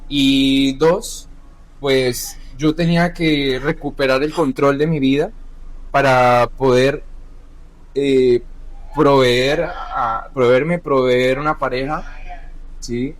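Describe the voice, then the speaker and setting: A young adult man, a chef, talking candidly about his life in a halting, self-correcting way. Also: medium pitch, steady tone, no room sound, poor audio. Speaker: chef, podcast guest. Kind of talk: candid personal conversation.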